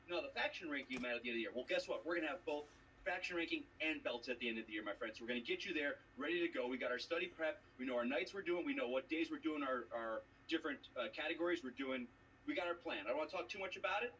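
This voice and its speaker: A man's voice: on the high side, animated, very fast, pushed and bright. A man trash-talking on a video call, boastful and mocking, with emphatic delivery. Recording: distant mic with clear background noise.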